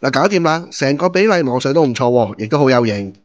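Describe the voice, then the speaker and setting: A man with a Hong Kong Cantonese accent, giving a relaxed toy review voice-over and explaining the steps. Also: mid-range voice, quick pace, dull audio, soft background music.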